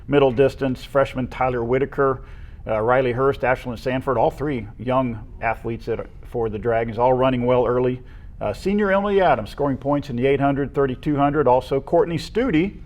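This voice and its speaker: An American man talks as co-host of a local high school sports program. He sounds casual and conversational as he reels off names and stats, in a voice of middling pitch. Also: steady tone, normal speed, a little mellow. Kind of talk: casual sports discussion, reeling off names and statistics.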